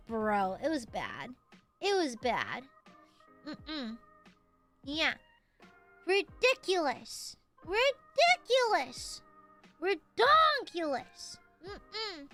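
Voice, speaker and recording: A woman's voice: sits high, sing-song, slow pace, long pauses, fairly bright tone, soft background music. A woman streamer with a nasal voice, chatting casually with her viewers, rambling, giggling and swearing freely.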